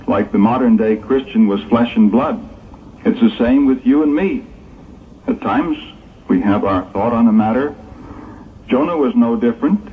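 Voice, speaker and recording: A man narrates in a formal, emphatic, sermon-like manner. The sound is narrow and phone-like, an old tape recording with faint noise behind his earnest delivery. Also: medium pitch. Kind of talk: scripted religious narration, sermon-like.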